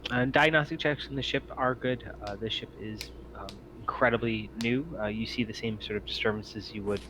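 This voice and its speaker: A man's voice: medium pitch, steady tone, brisk, sharp tone. A man running an online roleplaying game, explaining scenes politely as he improvises the narration. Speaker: game master. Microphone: online voice chat mic. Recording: dull lo-fi mic with faint noise.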